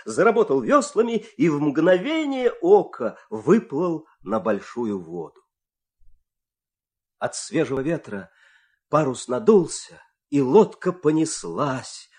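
A man narrating a fairy tale as a dramatic storyteller, expressive and suspenseful, with deliberate pauses. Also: mid-range voice.

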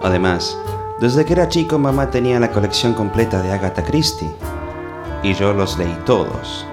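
An actor playing a naive, self-important amateur detective in a comic radio play, deadpan and theatrical, with an Argentine accent. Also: male voice, lowish voice, moderate pace, over music. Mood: wistful, self-important.

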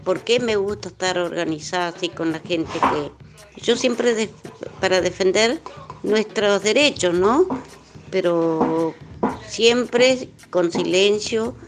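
A mature woman with an Argentine accent giving reflective personal testimony in an earnest, halting way. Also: mid-range voice, over music. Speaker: interviewee, worker rights activist.

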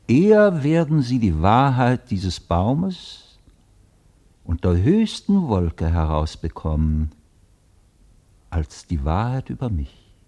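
A man acting in a German radio play as an enigmatic, taunting mastermind, defiant and grandiose, declaiming theatrically. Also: darkish tone. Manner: theatrical, declamatory, swinging from murmur to booming proclamation.

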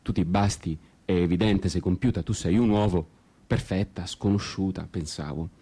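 A man's voice: low-pitched, normal speed, warm tone, breathy edge. A man performing a dramatic literary monologue as a radio theatre storyteller, with an ironic, brooding, theatrical manner. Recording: guitar music behind the voice. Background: guitar music.

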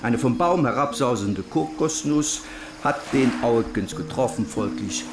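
An actor playing a brisk colonial German official in a radio drama, formal, officious and matter-of-fact. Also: male voice, mid-range voice, lively intonation. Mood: officious, dismissive. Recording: echoey room, clear background noise.

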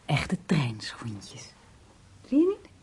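A coy young woman in a radio play, speaking slowly in a low, soft, breathy voice. Her teasing lines swing widely in pitch, over a slightly noisy recording with clicks. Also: huge pitch swings, heavily acted. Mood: coy, playful. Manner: coy, playful, slow. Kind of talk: intimate radio drama dialogue.

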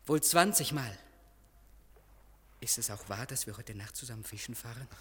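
A man acting in a German radio play as an anxious, guilt-haunted young gentleman, timid and childlike, with a husky voice. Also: mid-range voice, moderate pace, slight background noise, natural voice. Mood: haunted, confessing.

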